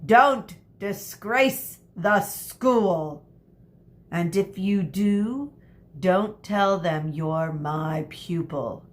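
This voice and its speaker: A woman voicing a bossy, weary woman schoolteacher from a storybook, barking scolding orders with drawn-out emphasis. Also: mid-range voice, expressive. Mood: stern warning.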